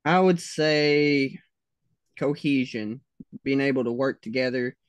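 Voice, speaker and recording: A man with a Southern US accent, answering interview questions slowly, with many pauses and fillers. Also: medium pitch, smooth, no room sound. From United States.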